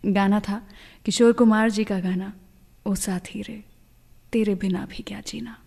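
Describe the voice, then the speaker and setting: A woman's voice: mid-range voice, steady tone, measured pace, vocal fry, warm tone, slightly rough, in a large hall. A woman hosting a radio show, telling anecdotes in a chatty, confiding way and slipping English words into her talk. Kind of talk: scripted radio storytelling.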